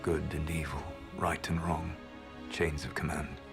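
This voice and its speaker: A proud, secretive ancient elf mage speaks slowly and gravely, every word weighed. His voice sits very low, and a swelling game score plays behind him. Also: little pitch movement, exaggerated voice. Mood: solemn. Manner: measured, grave, quietly regretful. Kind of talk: video game voice acting. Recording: game audio with music score.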